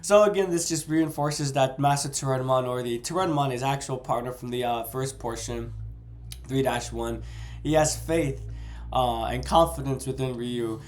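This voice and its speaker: A man's voice: mid-range voice, normal speed, faint music behind. A man with a cold, sounding congested and a bit nasal, casually discussing a novel chapter and often correcting himself. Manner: rambling, self-correcting. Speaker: book reviewer.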